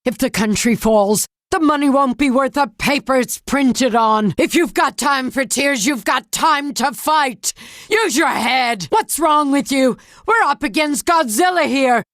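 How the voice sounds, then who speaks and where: An actress playing a tough, resolute government leader in a dramatic video game, speaking in a blunt, commanding way. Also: female voice, high-pitched, measured pace, fairly bright tone, no echo, clean studio sound. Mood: sharp, impatient. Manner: blunt, commanding, hard-nosed. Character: tough, resolute female government leader fighting a giant monster.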